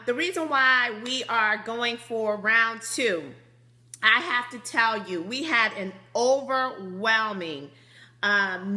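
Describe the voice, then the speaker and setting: A woman in her thirties with a high, slightly squeaky voice, hosting a business conference call in an upbeat, chatty way over a phone line. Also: sharp tone. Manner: bubbly, laughs often, chatty. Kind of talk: upbeat motivational call talk.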